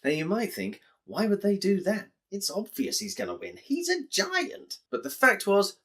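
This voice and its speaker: A man with an English accent telling a story to children in an expressive, explanatory way, addressing listeners directly. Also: medium pitch.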